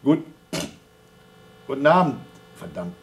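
An actor in a radio play playing a gruff, sardonic male astronaut with a dry, grumbling way of talking. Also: mid-range voice, very animated, slow pace, a little hiss or hum. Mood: loud, sardonic. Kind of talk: radio drama acting.

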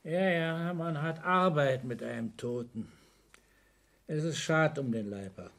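An actor playing a talkative, matter-of-fact family doctor in a radio play, with a sighing, platitudinous way of talking. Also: male voice, a little high, unhurried, gravelly, fairly bright tone. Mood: sociable commiseration. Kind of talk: 1960s German radio play acting.

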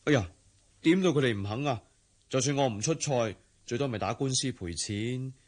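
A man's voice: mid-range voice, expressive, normal speed, darkish tone, close to an everyday voice. A man playing a confident, easygoing young jockey and doting fiancé in a Cantonese radio drama, with a teasing manner. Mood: reassuring. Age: young adult.

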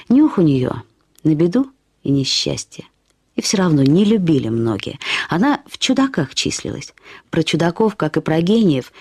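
A woman reading literary prose as a radio storyteller, in an expressive, reflective, measured way. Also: fairly low pitch, husky, no room sound. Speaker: narrator of a short story. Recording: studio radio production with music. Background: music.

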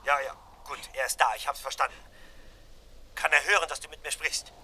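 Sharp, tense, commanding husband. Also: male voice, on the high side, fairly even intonation, fairly quick, slight background noise. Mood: strained, controlling. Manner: urgent, clipped, commanding. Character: tense, impatient husband giving urgent instructions by phone. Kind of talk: German radio crime play acting.

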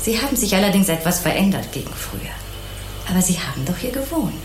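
An actress playing a kindly, naive, chatty housewife in an obliging, rambling way in a German crime radio play. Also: female voice, lowish voice, sing-song, fast, darkish tone, echoey room, faint music behind. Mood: curious. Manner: obliging, artless, rambling, sympathetic.

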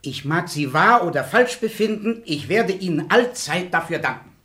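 An urgent, pleading country baron with a lively, rising and falling voice. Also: male voice, high-pitched, normal speed. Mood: alarmed. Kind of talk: stage radio play acting.

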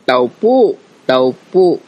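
A man with a Filipino accent playing a calm, friendly, patiently explaining guerrilla in a radio drama. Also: medium pitch, drawn-out words, muffled recording, noisy background.

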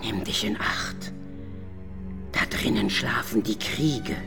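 Imperious female night spirit, weary, grumbling, slow theatrical delivery, radio play, prominent music behind. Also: a bass voice, creaky, heavily acted. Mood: grudging. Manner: theatrical, sighing, at times whispering. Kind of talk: German radio drama acting.

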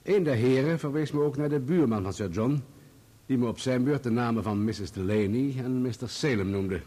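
An actor in a radio play playing a defensive journalist on the witness stand, giving formal, guarded answers. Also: male voice, mid-range voice, brisk, warm tone, close to an everyday voice. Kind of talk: courtroom radio play acting.

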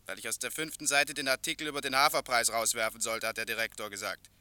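Harried printer in a radio drama, really sharp bright voice, defensive, mid pitch. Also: male voice, fairly even intonation, fast talker, smooth. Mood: matter-of-fact, defensive. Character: harried, put-upon male print shop foreman, defensive. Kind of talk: German radio play acting.

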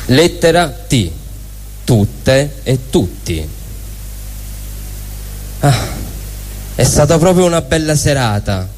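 A man's voice: fairly high pitch, big pitch swings, slow pace, pauses often, a little mellow, soft background music. A man with a Roman accent reading comic dialogue live on stage in a colloquial, exasperated way. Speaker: stage performer. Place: indoor stage at a live event. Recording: reverberant live hall through PA.

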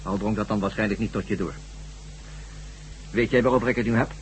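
A man plays a menacing radio-play villain who interrogates with sardonic condescension. His voice is fairly low and deliberate, on a noisy recording with a hum. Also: steady tone, natural voice. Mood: condescending threat. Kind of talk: Dutch radio drama acting.

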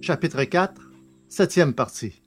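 A man with a slightly husky voice reading scripted fiction as an audiobook narrator. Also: a little high, expressive, slow pace, soft background music.

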